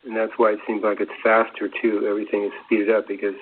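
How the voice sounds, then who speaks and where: A man giving unscripted conversational commentary as a co-presenter, halting and groping for words. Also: fairly low pitch, monotone, slight background noise. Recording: narrow phone-like sound.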